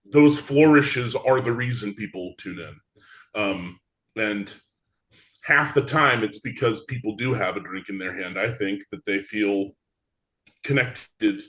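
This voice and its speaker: A man talking casually and reflectively as a guest in an interview about his creative work. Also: on the low side.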